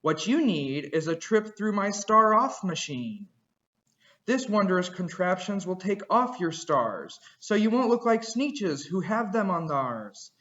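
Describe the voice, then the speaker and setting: Smooth-talking salesman, high and keen, voiced by a male reader, lo-fi. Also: very animated, a little slow, bright, forceful tone, heavily acted. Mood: sly. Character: slick fast-talking male traveling salesman.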